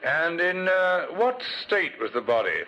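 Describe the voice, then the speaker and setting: Higher-voiced, probing police inspector. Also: male voice, very animated, a little slow. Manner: hesitant, probing. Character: methodical police inspector questioning a witness. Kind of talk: old radio drama acting. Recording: muffled, faintly noisy old radio.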